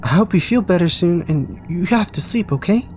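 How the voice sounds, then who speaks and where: A man's voice: sits high, expressive, normal speed, a little mellow, a little hiss or hum, pitched above the performer's own voice. A man voicing a small, kind-hearted, shy child in an audio drama, speaking hesitantly and stumbling over words. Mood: gentle, earnest. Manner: hesitant, stammering and repeating words. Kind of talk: audio drama character dialogue.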